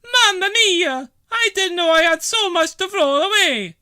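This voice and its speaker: Storybook Italian cafe woman, performed by a man: very high falsetto, excitable, breezy and bright. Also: unhurried, dry recording, clean studio sound, heavily acted. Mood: surprised.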